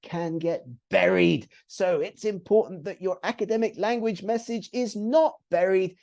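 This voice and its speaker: A man's voice: high voice, measured pace, gravelly, darkish tone. A man with a British accent, presenting a live online writing webinar in an upbeat, emphatic, teacherly way. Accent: British English. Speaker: webinar trainer. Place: home or office, on a video call.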